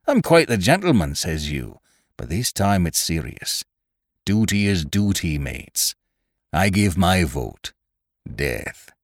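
A man's voice: sits low, sing-song, takes it slowly, no echo, clean studio sound, exaggerated voice. A man doing a cunning old pirate cook. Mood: scheming. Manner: gruff, cunning, persuasive. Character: scheming one-legged pirate cook, old sea dog. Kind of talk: audiobook character voice.

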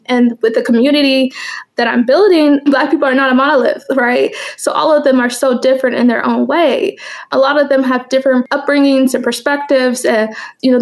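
A young woman with a high voice that often drops into creak. Her first languages are Swahili and Kikuyu, and she speaks in an informal, chatty way, as a guest in a personal conversation. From United States, raised in Kansas after early childhood in East Africa. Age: young adult. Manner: chatty, informal, laughs often while talking. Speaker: interview guest, photographer.

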